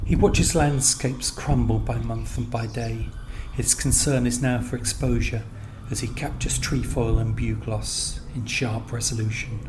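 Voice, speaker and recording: A man reading scripted literary narration in a measured, sombre manner. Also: medium pitch, fairly even intonation, background noise.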